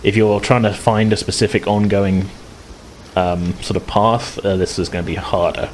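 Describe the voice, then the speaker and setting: A man's voice: lowish voice, fairly even intonation, normal speed, low-quality recording. A man acting as game master, narrating a tabletop game casually and thinking aloud in loose, hesitant phrases. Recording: clear background noise, room ambience.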